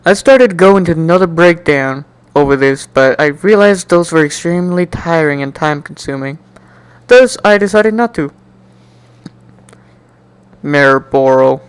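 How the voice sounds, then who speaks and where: A man doing an amateur dramatic reading of a first-person story in an animated, jokey way, now and then stumbling and repeating words. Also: on the high side, measured pace, clean tone, no room sound. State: coughing, slightly sick. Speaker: amateur fan fiction reader.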